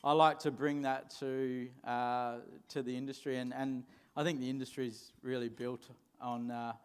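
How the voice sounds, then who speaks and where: A man's voice: mid-range voice, flat delivery, moderate pace, clean tone. A Western Australian man in his forties, a guest speaker answering interview questions on stage in a plain-spoken, unpolished way, often repeating words. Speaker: guest speaker, mining executive. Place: function hall at a dinner event.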